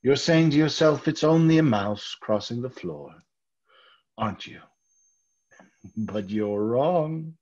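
A man's voice: medium pitch, animated, slow, long pauses, darkish tone. A man playing a nervous, obsessive murderer confessing in an old-time radio horror style, intense and lingering over words. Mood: menacing. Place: indoor home room, group video call. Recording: dull sound, music underneath.

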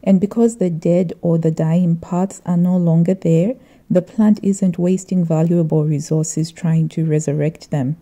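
Woman, middle-aged, very warm dark tone, creaky, measured reflective vlog narration. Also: fairly low pitch, steady tone. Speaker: vlogger.

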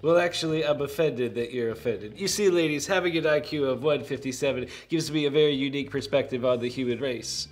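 A man's voice: on the high side, natural voice. An actor playing an earnest, know-it-all nerdy young man in a comedy film, with formal, over-precise diction. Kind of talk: scripted comedy film acting.

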